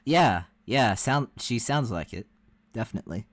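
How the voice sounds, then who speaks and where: A man's voice: mid-range voice, expressive, normal speed, no echo. A man giving casual reaction commentary as co-host while listening to an audio drama with a friend.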